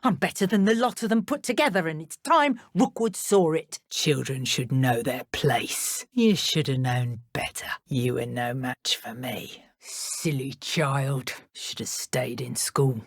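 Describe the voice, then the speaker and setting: An actress playing a cruel, haughty British dark witch henchwoman in a video game, with a taunting, contemptuous manner. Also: very deep voice, unhurried, hardly any pauses, no echo, studio quality, exaggerated voice. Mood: scornful, brooding. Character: cruel, sneering female dark wizard henchwoman, adult. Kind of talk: video game combat and idle voice lines.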